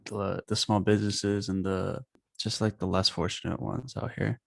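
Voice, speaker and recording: A young man from Bangladesh, a podcast guest talking in a halting way with many fillers. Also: sits low, level tone, creaky, dry recording, clean studio sound. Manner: halting, filler-heavy, says like a lot. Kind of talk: casual podcast chat.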